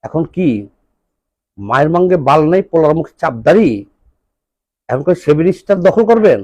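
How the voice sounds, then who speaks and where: A man's voice: on the high side, lively intonation, moderate pace, darkish tone, no echo. A man with a Bangladeshi accent delivering an impassioned political monologue, emphatic and scolding, throwing out rhetorical questions. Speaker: political commentator.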